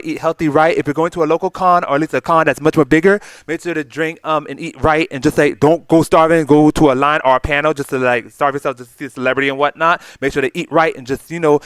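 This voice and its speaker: Male vlogger, very quick pace, smooth clear voice. Gushing, wordy, off-the-cuff chat. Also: on the high side, fairly bright tone, dry recording. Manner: effusive, gushing, wordy, repeats phrases. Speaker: vlogger, channel host. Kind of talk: unscripted, rambling casual chat about a fan convention.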